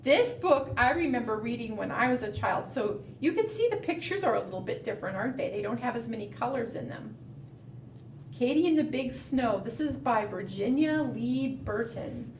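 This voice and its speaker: A woman's voice: on the high side, animated, normal speed. A woman leading a preschool storytime, reading aloud and doing fingerplay in a sing-song manner, often putting questions to young children. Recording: roomy, distant mic, faint background noise.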